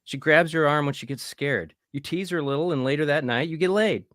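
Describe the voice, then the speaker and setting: A man's voice: a little high, brisk, fairly bright tone, dry recording, close to an everyday voice. A man playing a cocky, pushy young camper egging his friends on in a live horror script read. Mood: scheming. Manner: brash, pushy, scheming.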